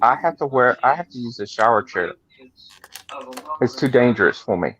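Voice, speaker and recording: A man's voice: mid-range voice, expressive, moderate pace, fairly bright tone, highs cut off. A man, rambling with stammered restarts in a casual group chat. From Georgia, USA. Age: 40s. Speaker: chat participant.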